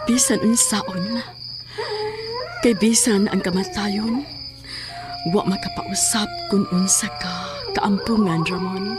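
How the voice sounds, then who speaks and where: Sorceress for horror radio play, female actor: very slow, incantatory, calling up the dead, under clear music. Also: medium pitch. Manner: slow, incantatory, rising to cries. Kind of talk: horror audio drama acting. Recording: loud music behind the voice.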